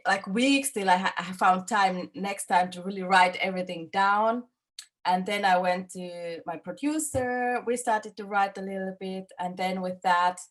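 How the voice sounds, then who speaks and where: Woman director, 30s, English, halting answers full of fillers. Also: mid-range voice. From Finland. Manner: halting, many fillers and restarts. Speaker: film director. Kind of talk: festival interview, casual answers.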